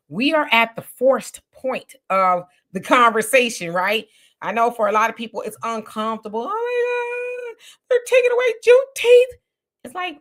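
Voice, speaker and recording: Woman, casual commentary host, bright forceful voice, slightly high, animated. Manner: animated, mocking voices when quoting others. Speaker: host reading viewer comments.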